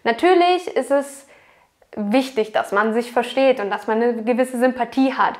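A woman's voice: a little high, normal speed. A young woman talking straight to camera in an advice vlog, chatty and earnest, addressing her viewers directly. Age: young adult. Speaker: vlogger. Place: small indoor room at home.

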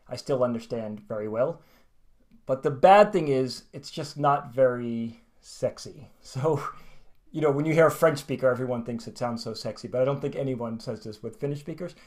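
An American man in his forties teaches English straight to camera from a small plain room. He talks lively and animated, then slows right down to say each word carefully so learners can copy it. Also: mid-range voice. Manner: lively, gesturing, slows right down to model words. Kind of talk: video lesson to camera.

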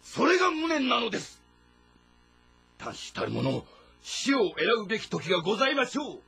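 Very raspy, anguished general. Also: male voice, very high voice, wildly swooping pitch, normal speed, bright, forceful tone, heavily acted. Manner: intense, formal. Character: anguished, fiercely loyal male general of ancient China. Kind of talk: dramatic audio-novel game acting.

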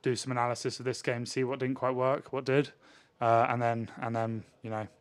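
A man, a rugby player answering questions in a post-match interview in a measured way. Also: mid-range voice, level tone. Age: 20s. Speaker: professional rugby player, winger. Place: indoors in front of a sponsor backdrop. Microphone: handheld interview microphone held at chest height.

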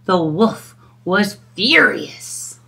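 A middle-aged woman reading a children's storybook aloud in an expressive storytelling style. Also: mid-range voice, extremely slow, gravelly.

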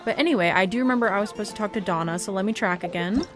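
A woman's voice: mid-range voice, racing, music in the background. A young woman giving casual, chatty let's play commentary as a gaming host, sounding tired.

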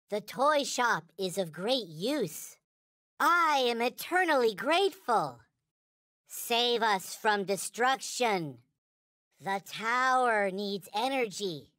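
A man voicing a small, squeaky, childlike toy alien in a video game, earnest and worshipful, with stilted, formal phrasing. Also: sing-song, slow, bright, forceful tone, dry recording, clean studio sound, exaggerated voice. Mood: earnest, solemn. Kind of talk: video game character voice lines.

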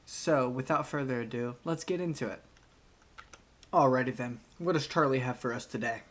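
A young man talks casually into a headset at home as he reacts to a video. His manner is chatty and relaxed, and he often laughs along. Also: medium pitch, normal speed.

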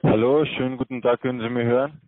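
A man on a dry, tinny phone line, with a mid-pitched voice. He runs through a scripted pitch in short, stock phrases. The sound is close and narrow. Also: steady tone, quick pace, no echo. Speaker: scam call-center agent.

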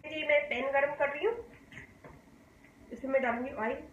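A high-pitched woman from Pakistan walks viewers through a recipe in her home kitchen, explaining each step in a calm, homely way. The kitchen sounds echoey, and a faint hum runs underneath. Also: moderate pace, lo-fi sound. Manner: calm, homely explaining, often saying "dekhiye ji". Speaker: cooking channel host. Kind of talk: step-by-step cooking demonstration.

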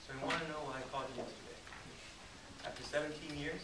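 An actor performing a stage monologue as a boastful, self-pitying Hollywood producer, theatrical and halting in delivery. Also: male voice, on the high side, steady tone, natural voice. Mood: smug, confiding. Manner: theatrical, halting, swinging from hushed to shouting. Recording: roomy, distant mic, loud background noise.

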